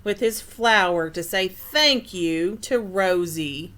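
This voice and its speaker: A woman's voice: lowish voice, slow pace, fairly bright tone. A woman reading a picture book aloud as a teacher, stopping to explain and put questions to the listeners. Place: indoor room.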